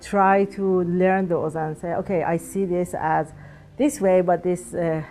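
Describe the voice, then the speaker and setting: A woman's voice: fairly low pitch, fairly even intonation, measured pace, mellow, a little hiss or hum. A woman in her thirties whose first language is Farsi, answering interview questions earnestly and sometimes searching for words. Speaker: alumna guest.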